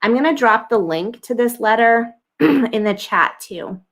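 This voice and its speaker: A woman's voice: fairly high pitch, animated, a little slow, a bit bright. An American woman in her thirties hosting a live Q&A stream, her throat scratchy, chatty and thinking aloud with lots of ums. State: scratchy throat, clearing it often. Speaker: livestream host answering viewer questions. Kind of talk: casual live Q&A.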